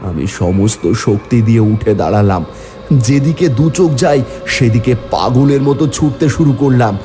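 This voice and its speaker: A man's voice: normal speed, warm tone, soft background music, lightly acted. A man playing a village man who tells his own horror story dramatically in a cartoon. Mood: grave narration. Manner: theatrical, rising into high pleading. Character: frightened, hungry village man telling his ghost tale. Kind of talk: dramatic first-person horror story narration.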